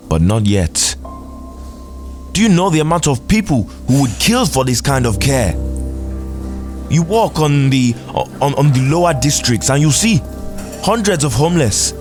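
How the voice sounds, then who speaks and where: With music clearly behind, a man plays a stern, smug doctor in a futuristic audio drama. He speaks slowly and deliberately in a medium pitch, wry and condescending. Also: big pitch swings. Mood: stern scorn. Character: smug, stern futuristic male doctor lecturing his patient.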